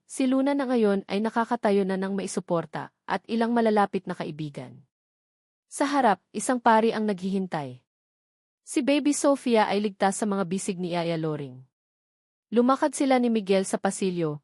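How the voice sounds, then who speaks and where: A woman's voice: mid-range voice, a little mellow, dry recording, clean studio sound. A woman with a Filipino accent reading scripted dramatic narration as an audiobook storyteller.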